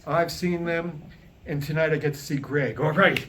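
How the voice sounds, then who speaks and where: A man's voice: fairly high pitch, steady tone, moderate pace, crowd noise. An older man giving an informal, folksy and humorous introduction on stage, chatting with a live audience. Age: 60s or older. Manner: folksy, joking, talks with the audience. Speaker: master of ceremonies introducing a show. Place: on stage at a podium before a small live audience.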